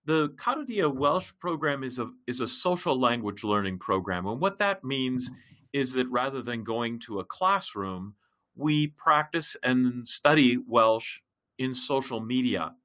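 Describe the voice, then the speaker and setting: Bright-voiced man hosting an online class. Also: mid-range voice, animated. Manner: halting, many fillers and restarts. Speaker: project facilitator. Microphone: computer mic in a web meeting.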